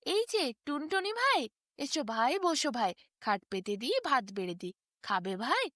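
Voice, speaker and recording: Woman as a high-voiced, lazy cat. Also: sing-song, measured pace, pushed and bright, no room sound, clean studio sound, full character voice. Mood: welcoming. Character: lazy, sleepy cat, politely unhelpful.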